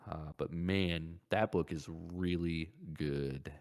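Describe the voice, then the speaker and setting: A man with a low voice speaks as a podcast co-host. His delivery is informal and conversational, like casual chat between friends. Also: fairly even intonation, slow pace, darkish tone.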